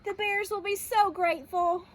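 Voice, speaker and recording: A woman's voice: extremely high pitch, steady tone, moderate pace, pitched above the performer's own voice. A woman voicing a finicky, self-satisfied older lady in a storybook, breezy and gushing. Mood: delighted.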